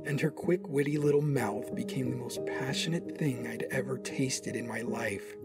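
A man's voice: medium pitch, moderate pace, vocal fry, over loud music, close to an everyday voice. A man playing a smug, smitten Sith Lord who narrates an audio drama in the first person. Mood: passionate, tender.

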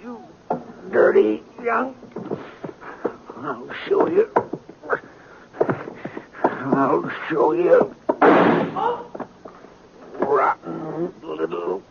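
Slow, sputtering older western townsman. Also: sits high, lively intonation, creaky, full character voice. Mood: indignant, shaken. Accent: American. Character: indignant older male shopkeeper being robbed. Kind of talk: 1950s radio western acting. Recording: very noisy, muffled 1950s radio recording.

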